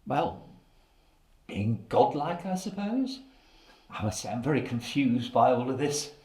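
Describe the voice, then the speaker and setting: A man acting a bemused, hesitant job candidate in a comic play, halting, sceptical and understated. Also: mid-range voice, big pitch swings, mellow. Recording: echoey room sound.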